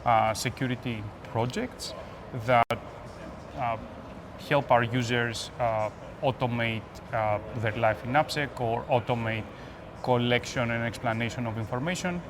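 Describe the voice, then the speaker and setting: A man with a Greek accent giving conversational interview answers as an expert guest, pausing between phrases. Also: medium pitch. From Greece. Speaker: guest, application security expert. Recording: noisy urban ambience behind voice.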